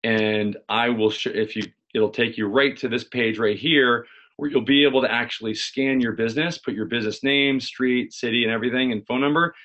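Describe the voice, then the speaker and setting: A man's voice: mid-range voice, fairly even intonation, a little fast, fairly bright tone, highs cut off. American man explaining in a tutorial. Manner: conversational, repeats and restarts phrases often. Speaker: presenter, local marketing consultant. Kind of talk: informal screen-share tutorial, explaining. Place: small home office indoors.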